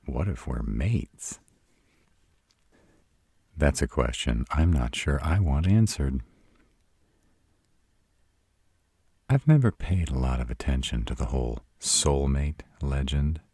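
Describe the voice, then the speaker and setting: Man playing a very deep, sarcastic vampire. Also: sing-song, unhurried, dark tone, breathy edge, no room sound, full character voice. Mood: wry, sardonic. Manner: sardonic, dry, rhetorical questioning. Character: sarcastic, proud city-bred male vampire. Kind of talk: scripted audio roleplay, addressed to listener.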